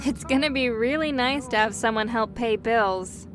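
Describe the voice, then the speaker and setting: A woman's voice: on the high side, moderate pace, noisy background, lightly acted. A woman playing a caring, teasing young best friend in an audio roleplay, chatty and a little hesitant as she talks. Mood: playful, apologetic. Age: young adult. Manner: chatty, hesitant, self-correcting, playfully teasing. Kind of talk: interactive audio roleplay acting.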